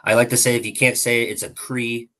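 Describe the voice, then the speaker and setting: A man's voice: mid-range voice, little pitch movement, fast talker, a bit bright. A man talking as a guest analyst on a hockey podcast, hesitant, with many ums and restarts. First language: not Czech. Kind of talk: podcast interview chat.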